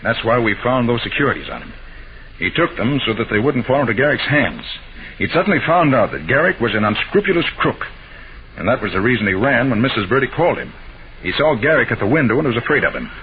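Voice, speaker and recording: An American actor playing a confident, clever master detective in a 1940s radio drama, explaining things with assurance. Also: male voice, medium pitch, sing-song, fast talker. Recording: tinny, noisy old radio recording.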